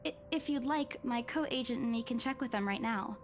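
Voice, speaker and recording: A woman's voice: fairly high pitch, moderate pace, natural voice. An actress playing a courteous, earnest young female real estate agent in a visual novel, careful and professional. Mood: polite, earnest. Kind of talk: visual novel game voice acting.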